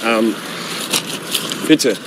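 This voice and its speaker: A man in his twenties playing a polite young man hunting for a flat, with traffic audible behind him. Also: drawn-out words. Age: 20s. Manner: polite, lively intonation. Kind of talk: scripted textbook dialogue. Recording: street traffic noise behind. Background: car traffic.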